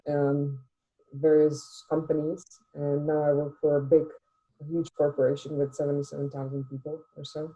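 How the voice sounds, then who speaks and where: A woman's voice: sits low, mellow, rough recording. A woman from the Republic, speaking hesitantly on a call through a computer with no camera, apologetic and full of ums. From Czech Republic. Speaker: call participant, corporate employee. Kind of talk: casual sharing on a call. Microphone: computer audio, no camera.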